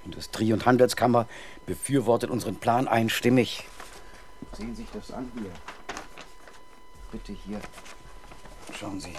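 A man's voice: fairly low pitch, measured pace, pauses often, a little mellow, close to an everyday voice. An actor playing an indignant, pompous male town councillor in a 1970s German radio crime drama. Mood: proudly explaining. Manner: blustering, pompous.

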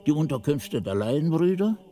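A man playing a devout, learned monk who recalls his novice days in measured, reverent radio play narration. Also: fairly high pitch, sing-song, mellow. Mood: reverent, descriptive. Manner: measured, reverent, ornate phrasing. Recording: music under the voice.

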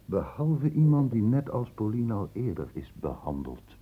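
Probing doctor, muffled old radio drama. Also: male voice, on the low side, big pitch swings, breathy edge, full character voice. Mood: probing, insistent. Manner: measured, deliberate questioning with clinical precision. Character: probing, stern male doctor, calmly cornering a suspect. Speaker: actor in a radio play. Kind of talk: Dutch radio drama acting.

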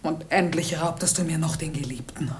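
An actress playing a bitter, grieving captive princess, melodramatic and declamatory, in a 1950s German radio play. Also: female voice, low voice, normal speed, natural voice. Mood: bitter despair. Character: bitter enslaved princess, vengeful, grieving, despairing.

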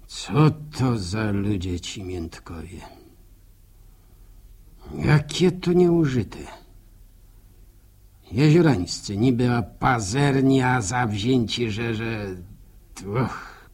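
An actor playing a sympathetic, grumbling village countryman who muses aloud, with a gravelly, husky voice, in a radio drama. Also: medium pitch, animated, a little mellow, exaggerated voice. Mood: indignant. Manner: rustic, musing, drawn-out, hesitant repetitions. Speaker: narrator character in a radio serial. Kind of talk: folksy radio drama monologue.

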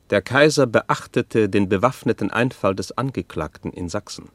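A sober chronicler in a historical radio play, voiced by a man. He narrates in a measured, formal way with a lowish voice. The sound is dry and close. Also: no room sound, natural voice. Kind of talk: scripted radio drama narration.

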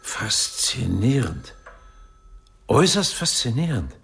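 Low, gravelly erudite professor. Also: male voice, very animated, slow pace, a bit bright, exaggerated voice. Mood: fascinated. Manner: erudite, savoring his words. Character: urbane, pedantic, curious male philosophy professor. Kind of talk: radio drama dialogue.

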